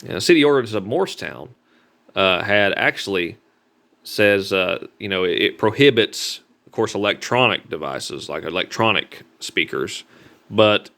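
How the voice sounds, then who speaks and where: A man with a Southern American accent talking informally about his own experience in a halting, repetitive, earnest way with folksy grammar. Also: fairly low pitch, lively intonation, gravelly, sharp tone. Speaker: street preacher. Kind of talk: informal personal vlog talk.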